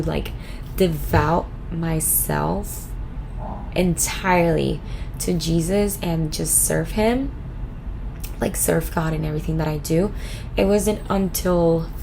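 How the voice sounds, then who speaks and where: A woman, casually answering viewer questions in a vlog, chatty and relaxed with lots of fillers. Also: on the low side, vocal fry, slight background noise, low-quality recording. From Puerto Rico. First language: Spanish. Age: 20s. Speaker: vlogger.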